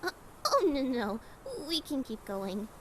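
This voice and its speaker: A woman playing a timid, anxious, nerdy female lizard monster in an audio drama, stammering and halting with nervous giggles. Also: sits high, big pitch swings, slight background noise. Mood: nervous, guilty.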